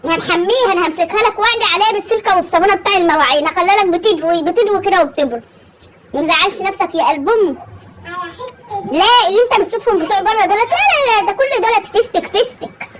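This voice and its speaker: A woman's voice: very high-pitched, very fast, slight background noise. A woman with an Egyptian accent playing a pushy rural mother, coaxing and insisting as she arranges her grown son's marriage over a one-sided phone call, calling him "my son" again and again. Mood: insistent. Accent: Egyptian Arabic.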